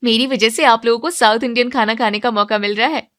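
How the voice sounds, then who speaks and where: An eager young bride-to-be voiced by a woman storyteller in a cartoon family tale. She chatters cheerfully in a high, girlish pitch. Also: quick pace, fairly bright tone, natural voice. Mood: proud, happy. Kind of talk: Hindi moral-story cartoon character.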